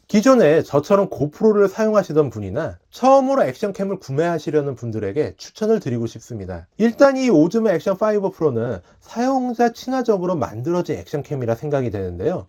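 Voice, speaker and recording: Lively higher-voiced man narrating a product review. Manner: polite, explanatory delivery. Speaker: tech review channel host.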